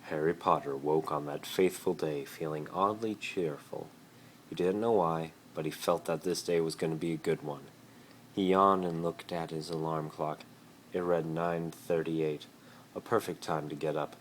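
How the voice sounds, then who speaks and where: A man with an extremely deep voice narrates a piece of fan fiction as an amateur dramatic reading. He is recorded on a phone, with slight noise around the voice. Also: steady tone, moderate pace. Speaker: amateur story reader.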